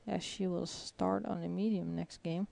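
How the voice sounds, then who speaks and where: Female lead analyst, low gravelly voice, quiet and measured, faint crowd noise. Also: fairly even intonation. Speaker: bowling commentator. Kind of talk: live sports commentary. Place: bowling arena with crowd.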